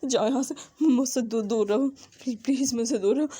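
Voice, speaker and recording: A woman's voice: fairly high pitch, normal speed, dark tone, slightly rough. Woman doing a terrified stammering young man. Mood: panicked pleading. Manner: stutters and repeats words, tearful pleading.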